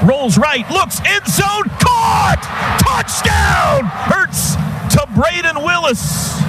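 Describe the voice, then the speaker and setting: An American man calling football play-by-play live on radio in clipped running phrases that build to shouts on big plays. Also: very high voice, sing-song, very slow, over loud music. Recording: noisy stadium radio broadcast.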